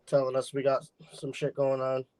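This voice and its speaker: A man co-hosting, hoarse-voiced, joking flatly. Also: medium pitch, normal speed, a loud crowd. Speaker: co-host.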